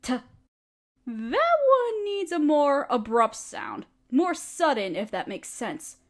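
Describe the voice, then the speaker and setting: Woman playing a doting, high-voiced spider queen mother. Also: sing-song, takes it slowly, heavily acted. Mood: patient, encouraging. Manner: tender, patient, encouraging, formally worded. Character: doting motherly spider queen, regal, gently teasing, protective. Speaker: mother figure teaching a language. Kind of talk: roleplay audio, softly acted monologue.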